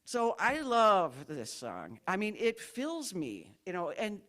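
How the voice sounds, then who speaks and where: A woman with a low voice sharing a rambling, self-deprecating personal story at a church service, in a packed room. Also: huge pitch swings, slow pace, a bit bright. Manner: chatty, rambling, self-deprecating, laughs while telling the story. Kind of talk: informal testimony at a church service.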